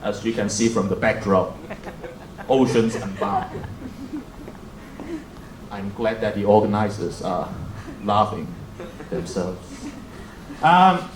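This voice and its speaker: A man's voice: fairly low pitch, expressive, slow pace, long pauses. Young guy w hong kong accent moderating, kinda jokey, echoey hall, faint hum behind. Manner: self-deprecating, joking. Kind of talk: opening remarks at a roundtable. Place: museum event hall.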